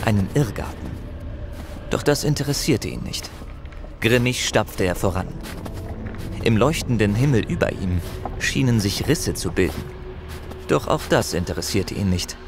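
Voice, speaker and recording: A man's voice: fairly low pitch, lively intonation, moderate pace, pauses often. A man narrating a fantasy audio play in a dramatic, scripted storytelling style. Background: loud music.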